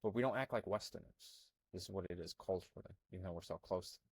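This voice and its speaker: A young man from Albania, talking casually as a health coach while he reads through on-screen text. His voice is husky and sits a little low, and he rambles in an animated way with lots of fillers and restarts. The sound is dry and close, with no room around it. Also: fast, dry recording. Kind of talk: casual commentary reading from a screen.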